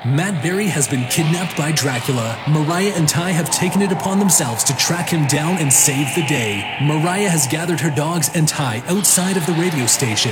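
A man acts as a radio-play narrator with a fairly high male voice. He reads the scripted story in a dramatic, wry way over clearly audible music. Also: natural voice.

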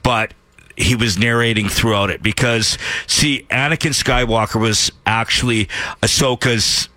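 A man's voice: medium pitch, steady tone, measured pace, pushed and bright, no echo. A man co-hosting a radio show in casual banter, contrary and insistent in how he talks. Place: radio studio.

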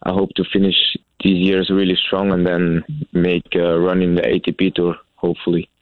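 A young man from Belgium, a college tennis player, answering interview questions in halting with many fillers and restarts. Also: sits low, completely flat delivery, no echo. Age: young adult. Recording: tinny phone-like sound.

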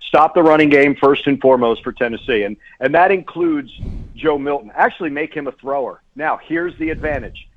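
A man's voice: on the high side, brisk, a little hiss or hum. A man speaking over a phone line as a guest sports announcer, giving casual, chatty and emphatic analysis in a radio interview. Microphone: phone call.

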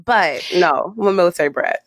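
Woman, radio personality, grew up in Germany, relaxed storyteller, lowish voice, dry close sound. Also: a little fast, no room sound. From Germany, US military family. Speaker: radio personality, podcast guest. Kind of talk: casual interview chat.

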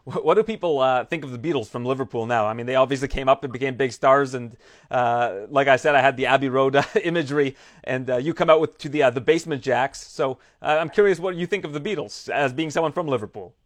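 A man's voice: mid-range voice, fast talker. A man interviewing fighters, upbeat and inquisitive, often restarting his questions. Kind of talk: sports interview.

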